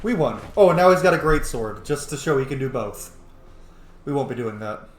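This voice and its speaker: A man's voice: mid-range voice, expressive, normal speed. A man giving casual, playful let's play commentary, muttering informally to himself as he goes, in an echoey room. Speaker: gaming video host. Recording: echoey room with faint noise.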